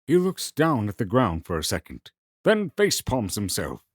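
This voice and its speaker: A man's voice: lowish voice, huge pitch swings, moderate pace, dry recording, clean studio sound. A man narrating a scripted story as a dramatic narrator. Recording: music behind the voice. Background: clear background music.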